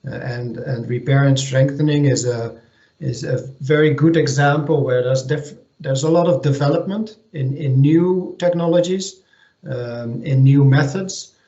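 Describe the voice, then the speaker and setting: A man giving an online technical presentation as a technical manager, speaking hesitantly with many pauses and restarts. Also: medium pitch, dark tone. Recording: echoey lo-fi video call audio.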